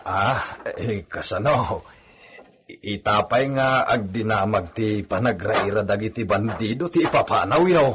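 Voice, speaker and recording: A man's voice: fairly low pitch, expressive, unhurried, a bit bright, a little hiss or hum. A man with an Ilocano accent playing a nervous, deferential, hesitant henchman in a radio drama. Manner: hesitant, stammering.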